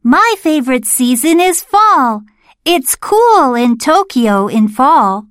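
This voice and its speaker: High, lively woman repeating drill words. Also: slow pace, dry recording, studio quality. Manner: clear, careful repetition of model words. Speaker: dialogue reader. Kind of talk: scripted textbook listening drill.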